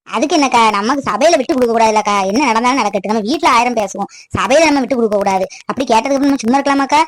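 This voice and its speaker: A woman's voice: on the high side, racing, no room sound, studio quality, close to an everyday voice. A woman playing a protective middle-aged aunt in a family drama, chatty and insistent, with a colloquial southern Tamil Nadu accent. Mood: aggrieved, confiding.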